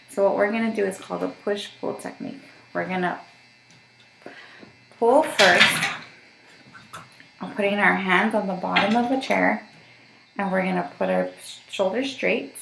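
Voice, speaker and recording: A woman's voice: mid-range voice, creaky, echoey room, slight background noise, poor audio. A woman working as a voice therapist, giving patient step-by-step instructions for voice exercises and checking in often. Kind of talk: guided voice therapy session.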